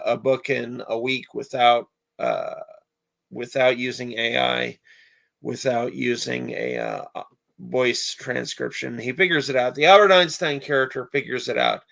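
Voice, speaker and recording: A man with a hoarse voice chatting casually with viewers on a live stream, rambling with many filler pauses. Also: mid-range voice, fairly bright tone.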